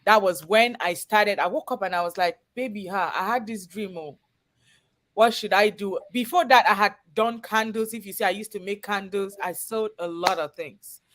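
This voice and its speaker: A woman's voice: mid-range voice, normal speed, fairly bright tone. Interview guest: woman, Cameroonian accent, earnest conversational storyteller, mid-range voice. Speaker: guest, chef and business owner. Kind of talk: personal storytelling in a live interview.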